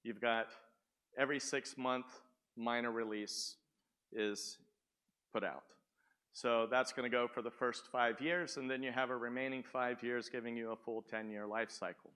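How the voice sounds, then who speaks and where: Guy presenting at a conference, big booming voice, bright and clean. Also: fairly even intonation, moderate pace, smooth. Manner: booming, asks the audience questions. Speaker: conference speaker. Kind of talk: sales presentation to a live audience. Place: conference room. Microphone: speaking without the microphone at first.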